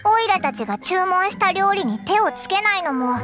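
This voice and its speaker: A woman voicing a tiny childlike fairy companion in a Japanese anime game, blunt, boyish in wording and exuberant, with a squeaky voice. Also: expressive, moderate pace. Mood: puzzled, concerned. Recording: music behind the voice.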